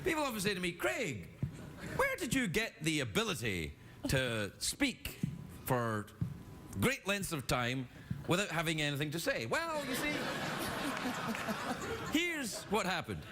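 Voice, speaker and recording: Playful Scottish talk show host, lively pitch. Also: male voice, a little slow, pauses often, very noisy. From Scotland. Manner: playful, teasing, laughing often. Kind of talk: joking banter with audience members. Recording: studio audience and band music behind.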